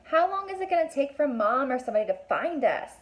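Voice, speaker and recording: A woman voicing an excitable, anxious young twin boy in a storybook, exclaiming and incredulous. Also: high-pitched, quick pace, lo-fi sound, pitched above the performer's own voice. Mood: anxious, wondering.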